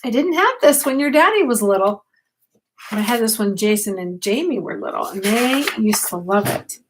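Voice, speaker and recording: An older woman, a grandmother, reading a picture book aloud at bedtime, expressively, with gentle questions to a child. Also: mid-range voice, expressive, moderate pace, noisy background.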